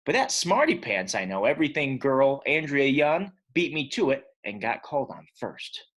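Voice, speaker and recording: A man's voice: mid-range voice, moderate pace. A man voicing a grumpy, opinionated eight-year-old boy who hates school, telling his story emphatically in a children's storybook. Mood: scornful. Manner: emphatic, expressive. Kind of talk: first-person children's storybook narration.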